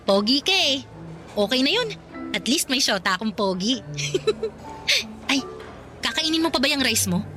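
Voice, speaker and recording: A woman's voice: high-pitched, big pitch swings, unhurried, pauses often. An actress playing a feisty, blunt young working-student girlfriend with a Filipino accent, sassy and complaining, in a radio drama. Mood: sassy, teasing. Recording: music behind the voice.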